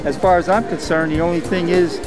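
A man's voice: sits high, little pitch movement, brisk. An older man chatting casually at an outdoor car show, folksy with many ums and uhs, recorded on a handheld camera. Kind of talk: casual interview. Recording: outdoor camera audio with music behind.

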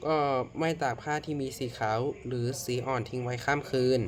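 A man from Thailand hosting a casual horror reaction video, excitable and full of exclamations. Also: medium pitch, brisk, heavy background noise. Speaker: video host.

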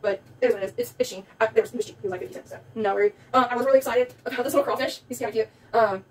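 A woman's voice: a little high, fairly even intonation, very fast. A young woman with a South Louisiana accent chatting casually through a haul video at home, rambling with lots of ums. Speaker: vlogger. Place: indoors at home, a small room with a table. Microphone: phone camera set back on the table. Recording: distant phone mic, faint room noise.

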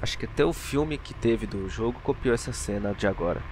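A man's voice: on the low side, expressive, brisk, a little mellow. A young man with a Brazilian accent casually commenting on a game with joking, slangy asides. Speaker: gaming channel host. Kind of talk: casual let's play commentary. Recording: noisy, game sounds behind.

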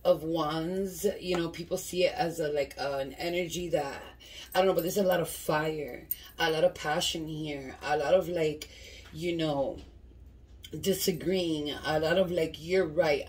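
A low, congested woman talks through a tarot spread in a relaxed, streetwise way, swearing freely and checking in with her listeners. She sounds stuffed up from a cold. Her voice sits a little away from the mic, as if picked up by a camera across the table. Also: recorded from a distance, slight background noise, rough recording. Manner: loose and conversational, swears, repeats "you feel me". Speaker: tarot reader. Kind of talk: casual tarot reading. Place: dim candlelit room indoors.

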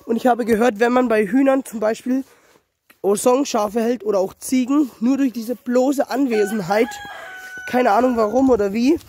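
A young man with a cold and a slightly hoarse voice, rambling and correcting himself as he talks viewers through his farmyard on a handheld phone. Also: extremely high pitch, fairly even intonation, normal speed, darkish tone, slight background noise. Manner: rambling, self-correcting, addresses viewers directly. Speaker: small-animal farm vlogger. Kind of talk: casual walk-around vlog. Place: outdoors on a small farmyard. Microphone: handheld phone camera.

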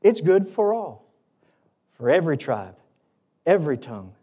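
A man's voice: on the high side, very animated, moderate pace, warm tone, husky. A middle-aged American man preaching a sermon as a pastor, emphatic, building short repeated phrases at a lectern microphone. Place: auditorium stage at a lectern, indoors.

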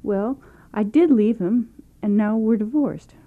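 An actress playing a timid, sheepish divorced wife in a satirical radio comedy. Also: female voice, medium pitch, unhurried, phone-quality sound.

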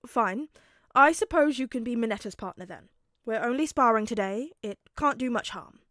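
A woman voicing an indulgent, kindly grown man who teaches heroes, in a mild, measured storytelling delivery. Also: on the high side, lively intonation, fairly bright tone, no room sound, clean studio sound. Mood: resigned.

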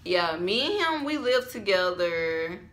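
18 yr old girl, bright voice, chatty n upbeat, filming at home. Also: fairly low pitch, lively intonation, a little slow. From Alabama, US. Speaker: vlogger. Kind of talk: casual chat. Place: small room at home.

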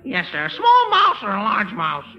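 Gullible, polite store clerk, extremely high voice, muffled vintage radio comedy. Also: male voice, huge pitch swings, normal speed, exaggerated voice. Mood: eager, deferential. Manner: deferential, ends phrases with sir. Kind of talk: 1930s radio comedy acting. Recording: muffled old radio recording.

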